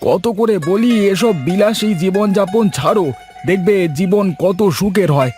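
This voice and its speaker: A man's voice: high voice, normal speed, a little mellow, faint music behind, close to an everyday voice. A man voicing an earnest, exasperated well-off city husband in a dramatised moral story.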